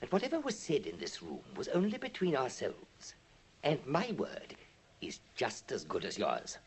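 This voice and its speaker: An actor playing a murderous, sly and outraged villain in a 1950s radio drama, theatrical and menacing. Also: male voice, mid-range voice, wildly swooping pitch, normal speed. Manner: theatrical, menacing, swinging from hiss to shout. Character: murderous middle-aged villain, sly, outraged when trapped. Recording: dull, noisy 1950s radio recording.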